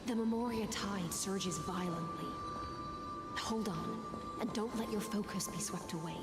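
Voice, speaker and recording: A woman's voice: mid-range voice, measured pace, lightly acted. An actress voicing an urgent, protective female guide in a fantasy video game. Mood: urgent warning. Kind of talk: dramatic video game voice acting. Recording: echoey game audio under loud music.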